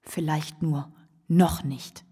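An actress playing a despairing, guilt-haunted young wife in a radio drama, breathy and pleading, often dropping to a whisper. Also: female voice, sits low, steady tone, takes it slowly, dark tone, full character voice. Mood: fragile hope. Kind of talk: stage-like German radio play acting. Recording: long reverb with music underneath.

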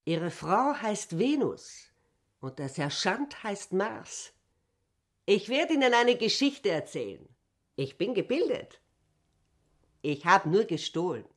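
A woman's voice: medium pitch, big pitch swings, slow pace, pauses often, full character voice. A woman playing an educated, imperious schoolteacher in a radio play, lecturing in a declamatory way. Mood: conspiratorial pride. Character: educated, imperious, fanciful prison-cell schoolteacher.

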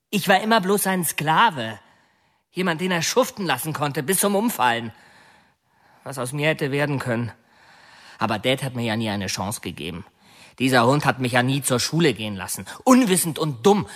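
An actor playing a bitter, self-pitying young ex-convict in a radio play, talking in an aggrieved, accusing way. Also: male voice, mid-range voice.

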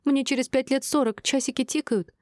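A woman voicing a shrill, hysterical wife in her thirties who yells tearful accusations in an audiobook drama. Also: expressive, normal speed, dry recording, studio quality. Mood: tearful fury. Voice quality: shrill, squealing.

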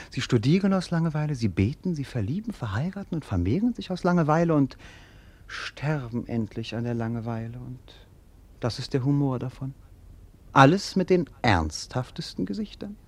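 An actor playing a bored, melancholic young prince in a classic radio play, with a whimsical, mocking, world-weary delivery. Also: male voice, medium pitch, darkish tone, lightly acted. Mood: world-weary, brooding.

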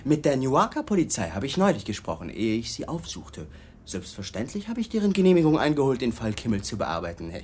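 An actor in a German radio crime drama playing a pushy police detective who is mock-polite, probing and slyly insinuating. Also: male voice, mid-range voice, wildly swooping pitch, fairly quick, a little mellow, slight background noise. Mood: confident.

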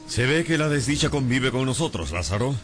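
An actor in a radio drama playing a proud, impoverished gentleman obsessed with honour, speaking in a grandiose, courtly manner. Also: mid-range voice, expressive, a little fast, soft background music, lightly acted. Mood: wistful, proud. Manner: grandiose, courtly, old-fashioned phrasing. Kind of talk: scripted radio drama acting.

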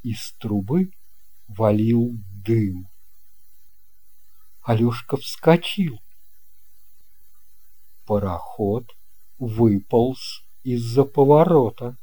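A man narrating a children's story from a script in a measured, expressive storytelling manner. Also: mid-range voice, breathy edge. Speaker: audiobook narrator.

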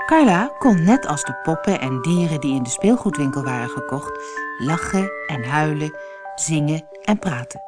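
A woman reading a children's fairy tale as scripted narration, with expressive, clearly phrased storytelling. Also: low-pitched, moderate pace, over music.

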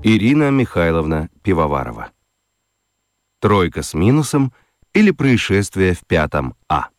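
A man reading a children's story aloud in a studio, telling it in an animated, playful way. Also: normal speed, no room sound, soft background music. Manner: animated, playful storytelling with wide pitch swings. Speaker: narrator. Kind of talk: scripted audiobook narration for children. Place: radio studio.

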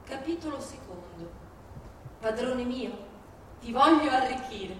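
A woman reads aloud in a theatrical, declamatory way with deliberate phrasing. Her voice sits fairly high. It comes from a distance in a very echoey space, with clear noise on the recording. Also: lively intonation. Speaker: stage reader. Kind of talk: theatrical dramatic reading with music concert.

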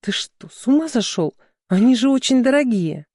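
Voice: mid-range voice, expressive, normal speed, a little mellow, no room sound, pitched above the performer's own voice. Narrator doing a sweet young bride-to-be in a romance audiobook, dry close sound. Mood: surprised.

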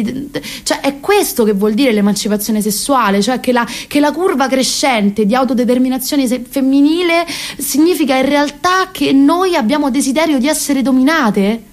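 A woman's voice: high voice, natural voice. A woman acting an impassioned woman of about thirty. She rambles and corrects herself, swinging between hesitation and mock-solemn emphasis as in a comic stage monologue for radio. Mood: flustered, hesitant. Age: 30s.

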